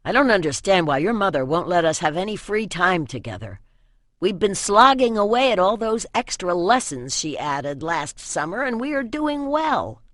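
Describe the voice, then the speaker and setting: A woman's voice: mid-range voice, very animated, normal speed, fairly bright tone, no echo, clean studio sound. A woman voicing a proud, sullen teenage boy in a fantasy audiobook, muttering and earnest in manner. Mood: grumbling. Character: proud, sullen teenage boy hiding his royal name.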